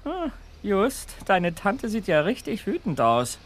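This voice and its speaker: A man's voice: high voice, huge pitch swings, slow, slight background noise, full character voice. An actor playing a curious teenage boy detective in a radio play, casual and teasing. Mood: amused. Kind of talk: German radio play acting. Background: loud music.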